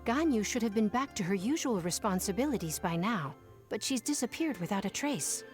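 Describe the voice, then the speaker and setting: A woman's voice: medium pitch, lively intonation, fairly quick, faint music behind, close to an everyday voice. An actress playing an overworked young female palace secretary, polite and formal but weary. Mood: explaining. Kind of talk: game voice acting.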